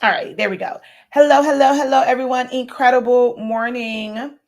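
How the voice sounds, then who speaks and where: Woman, hoarse, livestream host, casual chat, friendly greetings, laughs often. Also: a little high, fairly even intonation, moderate pace. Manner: warm greetings, frequent laughs and fillers.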